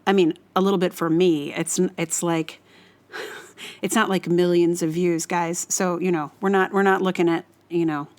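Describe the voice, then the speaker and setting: A woman's voice: fairly low pitch, fairly even intonation, normal speed, a little mellow. A woman hosting a solo podcast, talking casually in a rambling, hesitant way and often correcting herself as she goes. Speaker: podcast host.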